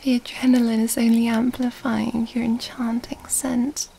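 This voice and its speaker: A woman playing an elegant, seductive female vampire in an ASMR roleplay, soft-spoken and breathy, often whispering in a genteel, flirtatious way. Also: on the high side, flat delivery, normal speed, slight background noise. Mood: predatory, soothing. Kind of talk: ASMR roleplay, intimate scripted monologue. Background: background music.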